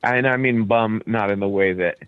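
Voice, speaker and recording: A man whose first language is Croatian talks easily as a radio guest, rambling through long explanations with plenty of fillers. His voice sits very low. The sound is dry and narrow, like a phone line. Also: normal speed, clear, resonant tone, dry recording. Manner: relaxed, explains at length with fillers. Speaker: band leader and studio guest. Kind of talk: casual radio interview.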